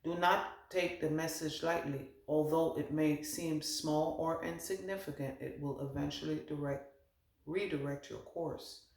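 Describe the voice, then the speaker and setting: Gravelly man giving a roomy spirit reading. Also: a little high, fairly even intonation, unhurried. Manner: meandering, thinks aloud, relays spirit messages. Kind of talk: channeled spiritual card reading. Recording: roomy echo.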